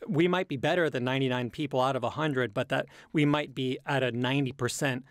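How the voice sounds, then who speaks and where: A man who speaks English natively answers in a casual, reflective interview. His voice sits at a medium pitch and he picks his words carefully, with hesitations and qualifications. The sound is dry, with no room echo. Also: steady tone, fast. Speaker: interview guest, language learner and comedy creator.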